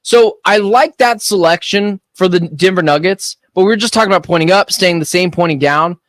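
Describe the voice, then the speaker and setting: A man co-hosting a sports betting radio show, animated and opinionated with quick joking asides, speaking into a studio microphone. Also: sits high, dry recording.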